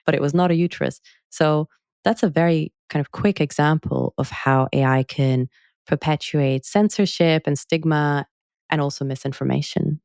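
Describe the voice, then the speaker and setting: Gravelly low-voiced woman, articulate guest. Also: fairly even intonation, darkish tone, no room sound, studio quality. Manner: articulate, measured, wry when telling anecdotes. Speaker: author and journalist guest. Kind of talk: podcast interview answers.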